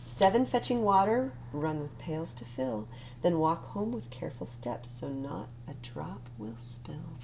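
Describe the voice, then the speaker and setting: A woman reading picture books aloud for children's story time, in an expressive, deliberate storytelling manner. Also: on the low side, gravelly, noisy background. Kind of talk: read-aloud picture book narration.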